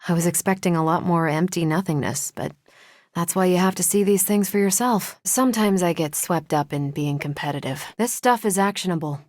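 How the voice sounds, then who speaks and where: A woman acts as a confident female superhero soldier from a video game, in a dry, close studio sound. Her voice sits on the low side for a woman. She banters casually, with a wry, competitive self-assurance. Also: a little fast, a little mellow, no echo, natural voice. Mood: confident, teasing. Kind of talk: video game voice acting, conversational dialogue.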